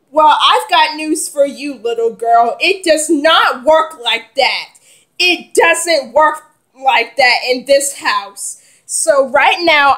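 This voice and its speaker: A woman's voice: high voice, fairly bright tone, heavily acted. A woman playing a firm mother who lectures her children. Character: stern, scolding mother.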